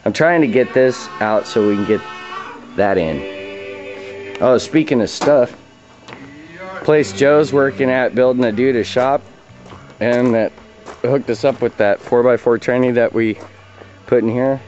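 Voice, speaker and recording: A man's voice: mid-range voice, unhurried, long pauses, darkish tone. A plain-spoken man giving an informal, slangy, hands-on tutorial on repair work. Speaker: DIY auto body repair demonstrator. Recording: dull lo-fi audio, radio music behind. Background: radio music playing in the background.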